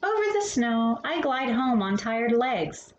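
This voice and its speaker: A woman reading a children's picture book aloud as a storytime host, with expressive phrasing and hushed, drawn-out endings. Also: fairly high pitch, dull audio. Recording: roomy echo with faint background noise.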